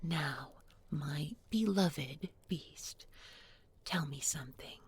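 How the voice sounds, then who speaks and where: Woman acting a sly village girl turned captor, audio roleplay, lowish creaky whispery voice, mock tender n kinda menacing. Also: no echo, full character voice. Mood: sly, possessive. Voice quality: breathy, often dropping to a whisper. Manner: deliberate, coaxing, mock-tender with pointed irony. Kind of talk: intimate audio roleplay spoken to one listener.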